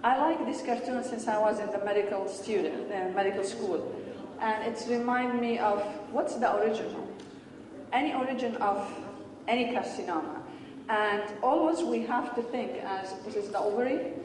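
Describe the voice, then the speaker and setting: A woman's voice: a little high, moderate pace. A woman from Syria, giving an earnest medical lecture in short explanatory phrases and answering questions in a large hall. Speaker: pathology lecturer. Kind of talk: conference lecture and Q&A. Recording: echoey hall, distant mic, background noise.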